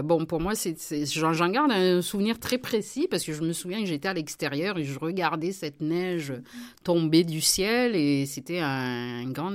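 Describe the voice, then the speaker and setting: A middle-aged woman with a notably low voice. She answers interview questions about her life, hesitating often and restarting her sentences. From southern France. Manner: hesitant, many fillers and restarts, warm laughs. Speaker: member of parliament, interview guest. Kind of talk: relaxed personal interview.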